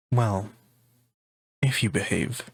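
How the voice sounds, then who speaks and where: A man playing a gentle, affectionate partner in a soft-spoken ASMR roleplay, tender and intimate, pausing often. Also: mid-range voice, slightly rough, no room sound, heavily acted. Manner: tender, unhurried, intimate, with pauses. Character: gentle, affectionate, teasing male partner offering comfort.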